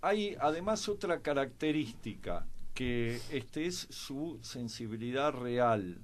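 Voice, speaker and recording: A male guest commentator with an Argentine accent talks in a mid-pitched voice. He recounts anecdotes in a conversational way, often stopping on filler words before picking the thread up again. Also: animated, a little hiss or hum. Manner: halting, with frequent pauses and filler words. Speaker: guest commentator on a history and politics programme.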